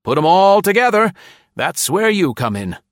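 A man voicing a huge, fat male colonel with a high, neighing voice. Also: sing-song, moderate pace, no echo, studio quality. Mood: approving.

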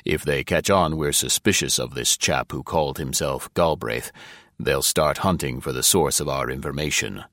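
A man's voice: rumbling low, normal speed, a bit bright, no room sound, studio quality, voice pushed down. A man voicing a blunt, impatient government chief who growls his orders, in a story reading. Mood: gruff. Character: gruff blustering male government chief.

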